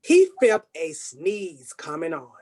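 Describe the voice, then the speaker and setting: Female narrator for reader's theater: husky, unhurried, lively pitch. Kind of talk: scripted reader's theater storytelling.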